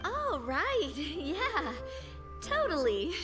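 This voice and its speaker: A woman's voice: very high-pitched, big pitch swings, extremely slow, music in the background, heavily acted. A woman playing an easygoing, curious young adventurer in a video game, casual, candid and a little hesitant. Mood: awkward.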